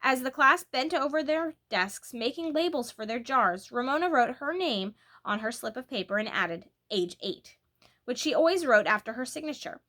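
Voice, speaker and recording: A woman narrates a children's story with a very bright, forward voice pitched on the high side. Her reading is expressive and animated, putting emphasis on key words as a storyteller would. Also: moderate pace.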